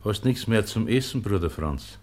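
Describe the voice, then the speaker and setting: Man playing a low-voiced, humble Bavarian monk. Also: animated, normal speed, darkish tone, lightly acted. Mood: humbly pleading. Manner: humble, pleading. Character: humble, gently persistent monastery porter brother. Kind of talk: radio play dialect acting.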